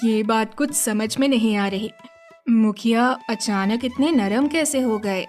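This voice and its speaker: Village farmer's wife, cartoon story, female actor, gentle and polite, fairly high voice, slow delivery. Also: faint music behind, lightly acted. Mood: concerned. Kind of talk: animated Hindi moral story dialogue.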